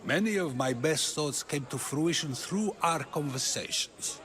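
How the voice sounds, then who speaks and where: An actor playing a probing, articulate ancient Athenian philosopher in a video game, musing and questioning. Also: male voice, on the high side, expressive, unhurried, fairly bright tone. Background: game music.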